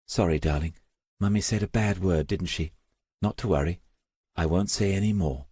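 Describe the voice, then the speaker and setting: A man's voice: sits low, moderate pace, dark tone, slightly rough, dry recording, studio quality. A man voicing a resolute, bitter young mother in an audiobook, frank and plain-spoken. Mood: soothing. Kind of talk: audiobook character dialogue.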